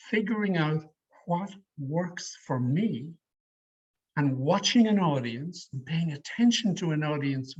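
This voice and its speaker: A middle-aged Irishman with a slightly high voice coaches public speaking in a deliberate, emphatic way. He answers questions over an online call, and the sound is a little dull and lo-fi. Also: a bit bright, husky. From Ireland. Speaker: speaker leading a public speaking education session. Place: online video meeting.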